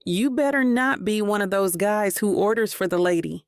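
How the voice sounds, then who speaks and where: A woman's voice: mid-range voice, normal speed, clean tone, no room sound, natural voice. A woman voicing a guarded, self-possessed heroine with dry wit in an audiobook. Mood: wry. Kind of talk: audiobook character dialogue.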